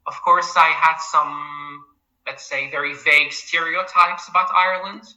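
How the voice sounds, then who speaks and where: A young Algerian man in his twenties answers interview questions with polite eagerness. His voice has a very bright, forward tone. He speaks as a PhD student, adding a frequent "absolutely" and a steady, friendly pace. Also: fairly high pitch, moderate pace, muffled recording. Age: 20s.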